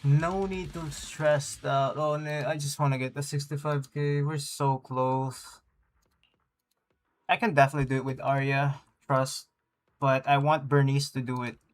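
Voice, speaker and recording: A man's voice: medium pitch, flat delivery, unhurried. A man chatting casually on a gaming livestream, rambling and thinking aloud in slangy speech. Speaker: game livestreamer. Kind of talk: casual gaming stream chat. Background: game music faintly behind.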